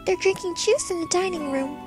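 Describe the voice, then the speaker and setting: A petite, sweet schoolgirl in an audio drama. Her voice is extremely high and childlike, with a shy, flustered, hesitant politeness. Music plays clearly behind her. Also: fairly quick.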